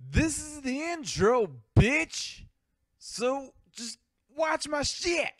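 A man putting on a slow, crude drawling voice, higher than his own. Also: expressive, reverberant, a crowd in the background, exaggerated voice. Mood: mocking.

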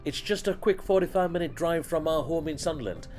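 A man delivers scripted voice-over narration for a family travel vlog in a warm, mellow tone. His voice sits slightly high, and his delivery is polished and even. Soft music plays faintly underneath. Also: fairly quick.

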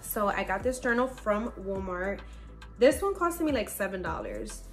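A woman about thirty chats to her camera from her bedroom, upbeat and full of fillers. Her voice sits at an ordinary middle pitch. Soft music plays faintly underneath. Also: big pitch swings, moderate pace. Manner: chatty, upbeat, warm toward viewers, many fillers. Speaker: faith lifestyle vlogger. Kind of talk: casual chat to camera. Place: bedroom at home, indoors.

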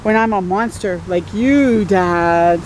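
A woman voicing an eager little boy monster, asking wondering, drawn-out storybook questions of his dad. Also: mid-range voice, darkish tone, a little hiss or hum, pitched below the performer's own voice, lightly acted. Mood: eager, curious.

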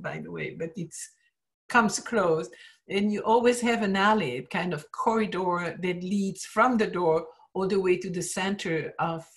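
A woman lecturing over slides on art history, explaining steadily and pausing often with "uh" as she finds her words. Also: fairly low pitch. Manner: explanatory, frequent "uh" pauses, trailing off at phrase ends. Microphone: screen-share talk, webcam in corner.

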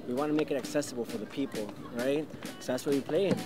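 A man's voice: mid-range voice, fast. Young man chatting outdoors over music. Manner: relaxed, conversational, switches into Spanish. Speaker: band bass player. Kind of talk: casual interview chat. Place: outdoor basketball court, park. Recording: loud band music in background.

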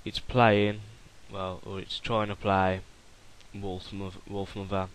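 A young man casually talking through a software tutorial while recording his screen, rambling with fillers and restarts. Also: low voice, level tone, slow, slight background noise, poor audio.

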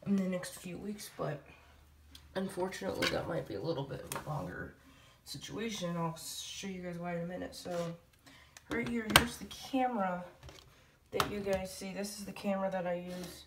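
A woman's voice: fairly low pitch, moderate pace. A woman giving a casual vlog update to viewers in a hesitant, rambling way, recorded on a handheld device in a room at home. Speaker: hobby video creator. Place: small room at home, indoors. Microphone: handheld camera or phone mic. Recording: roomy, distant mic, light noise.

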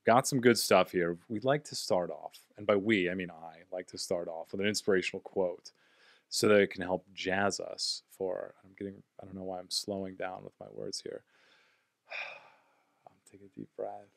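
A playful young man hosts a casual comedy podcast with a slightly low voice. He jokes and laughs easily, recorded close on a studio mic in a dry, dead room. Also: fairly even intonation, moderate pace, vocal fry, no echo. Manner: playful, jokey, bursts of loud laughter. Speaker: podcast host. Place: small home podcast studio, indoors. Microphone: studio mic on boom arm.

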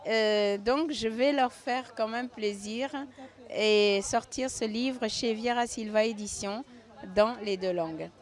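A middle-aged woman author from Cape Verde answers interview questions outdoors. She explains things calmly and plainly. She holds a handheld mic close. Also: fairly high pitch, slow pace. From Cape Verde, raised in Senegal. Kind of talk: interview conversation. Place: outdoor festival under a tent.

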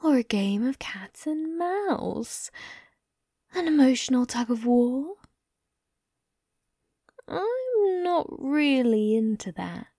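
A woman playing a young, possessive and sadistic yandere captor in an ASMR roleplay, taunting in a mockingly sweet, breathy, cooing way. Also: on the high side, dark tone, no echo, clean studio sound, full character voice. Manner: taunting, teasing, cooing, breathy drops to whisper.

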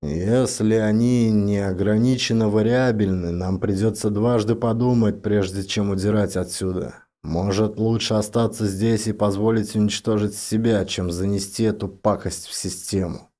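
A man playing a grim, cautious scientist on a space expedition, explaining seriously and in a measured way for an audiobook. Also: lowish voice, steady tone, warm tone, natural voice. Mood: grave. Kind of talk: audiobook drama character voice.

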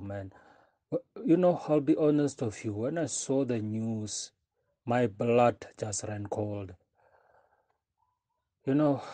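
A man's voice: fairly low pitch, warm tone, no room sound. A man playing an effusively concerned acquaintance in an audio drama, emphatic and given to repeating himself. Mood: sombre sympathy. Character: effusively concerned male acquaintance receiving a man at his office.

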